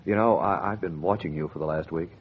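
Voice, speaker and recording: An actor playing a courteous, earnest bachelor suitor, polite and a little hesitant, in 1940s radio acting style. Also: male voice, low-pitched, fast talker, breathy edge. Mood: courteous, interested. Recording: muffled, faintly noisy 1940s radio recording.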